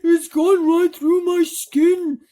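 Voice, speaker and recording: A woman voicing a gloomy male earthworm in a children's storybook, dramatic and given to drawn-out complaining. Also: very high voice, level tone, warm tone, pitched above the performer's own voice. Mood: wailing. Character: gloomy male earthworm relishing others' misfortune.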